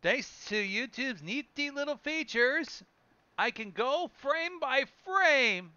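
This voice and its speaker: High-voiced, very animated, sweary man reacting. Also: slow, smooth, no echo, highs cut off. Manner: profane, excitable. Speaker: reaction show host. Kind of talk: casual reaction commentary, reading on-screen text aloud. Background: audio of the horror video being watched.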